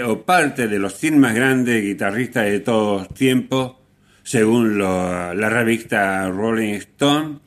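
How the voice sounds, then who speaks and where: Slow-talking Argentine man, low voice. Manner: halting delivery, repeats phrases for emphasis. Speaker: radio host. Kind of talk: casual radio talk about music.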